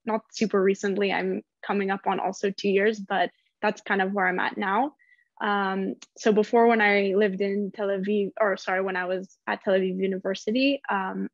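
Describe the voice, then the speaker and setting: A woman's voice: mid-range voice, no echo, lo-fi sound. A woman from Northern California introducing herself on a panel, chatty, with lots of ums and self-corrections. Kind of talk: personal introduction.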